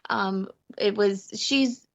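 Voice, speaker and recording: A woman's voice: medium pitch, very slow, dull audio. A middle-aged woman telling personal anecdotes casually as a guest, with a wry manner and laughter in her talk. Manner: wry, laughing as she talks. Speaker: podcast guest, prepper and mother.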